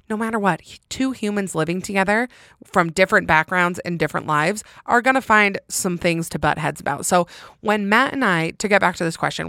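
A woman hosting a podcast, chatting casually and candidly on her own with emphatic delivery and a loud, intense voice. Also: lowish voice, creaky, no echo, clean studio sound. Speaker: podcast host. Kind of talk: casual solo chat answering listener questions.

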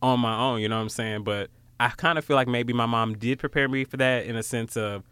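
A young American man with a clear, smooth voice in a very dry recording. He talks informally and thoughtfully, acting out remarks as he goes, in a middle pitch. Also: steady tone, brisk, a bit bright, dry recording. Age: late 20s. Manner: informal, reflective, acts out quoted remarks. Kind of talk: casual conversational talk.

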